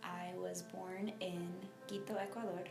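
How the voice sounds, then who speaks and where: A young adult woman from Miami telling her personal story in an interview, speaking informally and haltingly with lots of filler phrases. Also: fairly low pitch, little pitch movement, gravelly, roomy sound, music in the background. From United States (Miami, Florida). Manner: informal, halting, frequent "like" and "you know".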